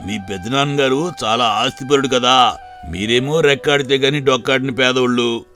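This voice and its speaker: A man's voice: medium pitch, moderate pace, sharp tone, faint music behind, natural voice. A man playing a kindly village grocery owner in a moral story, talking familiarly and rustically as a trusting boss. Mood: trusting, curious. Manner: familiar, rustic employer's talk. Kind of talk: dramatized moral story for video.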